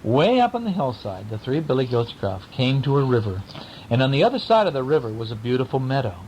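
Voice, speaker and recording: A man's voice: very animated, fast talker, a little mellow, low-quality recording. A man, a father, telling a bedtime story to a child in a playful, theatrical, teasing way, at home. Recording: home recording with rumble and background noise.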